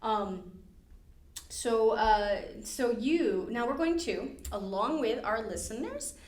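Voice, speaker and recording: An American woman hosting a podcast, chatting casually and retelling stories in an animated, slangy, jokey way. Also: on the high side, takes it slowly. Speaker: podcast host. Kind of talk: casual podcast chat and storytelling. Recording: roomy, echoey indoor sound.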